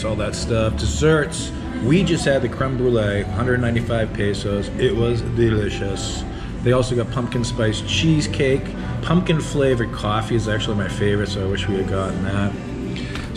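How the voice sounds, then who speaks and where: A man talking casually and off the cuff as he hosts a vlog in a restaurant. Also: mid-range voice. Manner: conversational, off-the-cuff. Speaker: vlogger, host. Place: small restaurant, indoors. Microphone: handheld camera, behind the lens. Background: loud background music.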